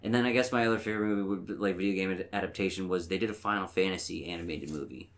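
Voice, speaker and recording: Male podcast co-host, low voice, conversational and informal, somewhat halting delivery with repeated phrases. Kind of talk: casual chat about movies.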